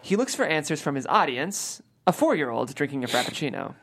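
Low-voiced, animated woman narrator. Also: a little fast. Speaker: stage directions reader. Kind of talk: scripted narration at a comedy table read.

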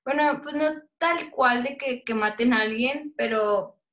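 A woman's voice: high voice, measured pace, fairly bright tone. A woman hosting a casual conversation, asking hesitant, meandering questions.

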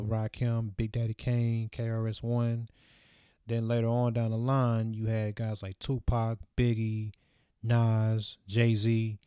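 A man's voice: mid-range voice, darkish tone, no room sound, clean studio sound. A man in his twenties talking to camera in a loose, reflective monologue full of fillers, recorded in a small room. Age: 20s. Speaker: vlogger. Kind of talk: casual rambling monologue.

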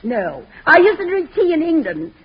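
A woman's voice: high-pitched, big pitch swings, moderate pace. An actress playing a wealthy society dame and former showgirl, grandly affected yet slangy, in a 1940s radio comedy on a muffled old recording. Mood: haughty, boastful. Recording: muffled old radio broadcast, light noise.

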